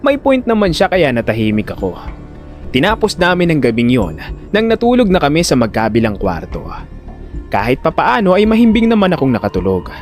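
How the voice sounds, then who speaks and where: Man, dramatic first-person narration, conversational, very lively intonation, background music. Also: a little high. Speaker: narrator and lead character of audio drama.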